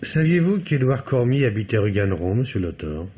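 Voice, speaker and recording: An actor playing a shrewd, patient senior police commissioner in a French radio mystery, questioning in a measured, wry way. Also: male voice, lowish voice, sing-song, warm tone. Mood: probing. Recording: dull, noisy old radio recording.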